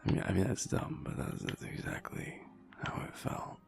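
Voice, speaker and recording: A man playing a sad, wistful young alpha werewolf in an intimate audio roleplay, talking haltingly in a low, rumbly half-whisper. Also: faint music behind, natural voice. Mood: sad, heavy. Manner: halting, hesitant, trailing off.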